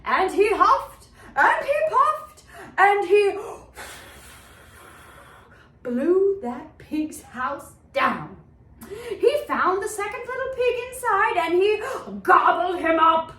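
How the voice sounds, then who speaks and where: Woman storyteller for preschool read-aloud: theatrical, very high, bright delivery, slow and deliberate, echoey room. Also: far from the mic. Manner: theatrical, expressive. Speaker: children's teacher and storyteller.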